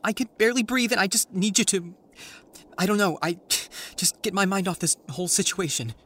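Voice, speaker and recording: An actor playing an anxious, awkward teenage boy in an audio drama, with a halting, dry, naturalistic delivery. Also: high voice, a bit bright. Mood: panicked. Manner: halting, dry, self-conscious.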